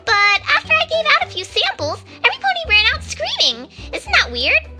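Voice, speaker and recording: A woman voicing a bubbly, excitable pony baker in an amateur cartoon fan fiction reading. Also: extremely high pitch, a little fast, faint music behind, heavily acted. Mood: puzzled cheer.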